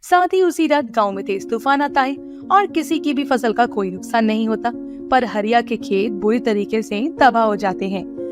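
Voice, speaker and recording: Female storyteller, higher pitch, scripted moral-tale narration, faint music underneath. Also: moderate pace.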